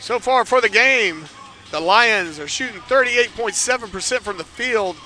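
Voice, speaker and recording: Bright high-voiced male sports commentator. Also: normal speed, soft background music. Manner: chatty, joking asides about himself; lifts to near shouts on big plays. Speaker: play-by-play commentator. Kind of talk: live basketball play-by-play. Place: indoor basketball arena.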